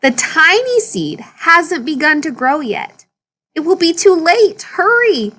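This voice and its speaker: A woman reading a children's picture book aloud in an expressive storytelling manner for young listeners. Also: very high-pitched, slow pace. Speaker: story reader.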